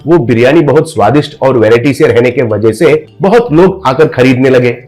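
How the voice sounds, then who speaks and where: A man narrating a scripted cartoon story in a steady storytelling manner. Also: medium pitch, normal speed, soft background music.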